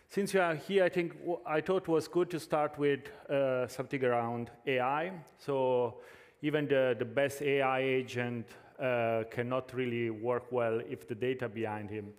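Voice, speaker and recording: Italian-accented man presenting in a hall. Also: mid-range voice. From Italy. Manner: hesitant, frequent fillers and restarts. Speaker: conference speaker. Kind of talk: tech conference presentation. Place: large conference hall.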